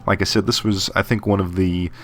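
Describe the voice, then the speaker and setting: A man's voice: low-pitched, steady tone, brisk. A man doing a casual voice-over review of old console games, thinking aloud with fillers and restarts. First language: English. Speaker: game reviewer. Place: voice-over over game footage.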